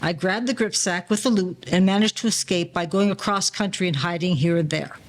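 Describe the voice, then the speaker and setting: A woman playing an aging male gangster safecracker in an audio play, telling stories in slangy, mock-formal 1940s style with quiet menace. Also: fairly low pitch, a little fast, close to an everyday voice. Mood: wily storytelling.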